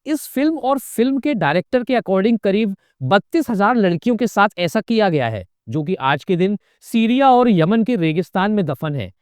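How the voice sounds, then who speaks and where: A man's voice: sits high, very animated, normal speed, few pauses, darkish tone, clean tone, no room sound, clean studio sound. A man narrating a scripted political commentary, pointed and emphatic, with sarcastic asides. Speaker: political video essayist.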